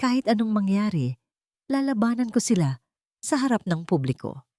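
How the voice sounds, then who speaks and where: A woman's voice: medium pitch, big pitch swings, normal speed, mellow, dry recording, pitched above the performer's own voice, natural voice. A woman plays an earnest, defiant company boss, a man of firm conviction. The lines are declarative storybook drama, recorded very dry. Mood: determined.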